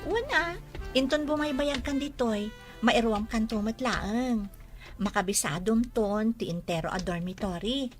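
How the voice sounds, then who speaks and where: A woman's voice: fairly high pitch, measured pace, faint music behind, natural voice. An actress playing a kind young woman in a radio drama, friendly and reassuring. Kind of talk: Ilocano radio drama acting.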